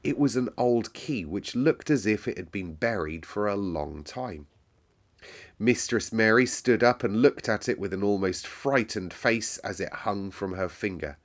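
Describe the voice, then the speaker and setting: A man's voice: fairly low pitch, measured pace. A man hosting storytime, reading expressively and talking informally to his listeners. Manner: animated, informal, addresses listeners directly. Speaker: storytime reader and host. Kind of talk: expressive children's storybook read-aloud with chatty asides.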